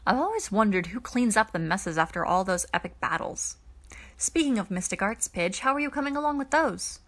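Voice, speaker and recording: A woman's voice: mid-range voice, animated, fairly quick, a bit bright. A woman voicing a level-headed young male team leader in an audiobook, dry and matter-of-fact. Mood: dry, curious.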